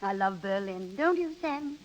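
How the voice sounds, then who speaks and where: A woman's voice: medium pitch, animated, a little slow, soft background music, close to an everyday voice. An actress playing a restless, vain married woman in a theatrical, coaxing 1930s radio style. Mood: coaxing, gushing. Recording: dull 1930s radio broadcast recording.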